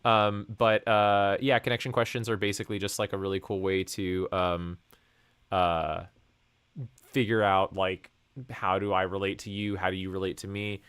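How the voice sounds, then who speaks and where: Low-voiced man chatting casually on stream. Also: level tone, normal speed, fairly bright tone, clear, resonant tone, no echo. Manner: rambling, full of fillers and restarts. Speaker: streamer and host. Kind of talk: casual chat.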